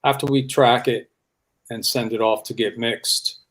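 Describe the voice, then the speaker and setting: A man with a medium-pitched voice speaks as an interview guest. He is a rock musician and talks in a casual, conversational way about his work. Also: fairly even intonation, a little slow, fairly bright tone.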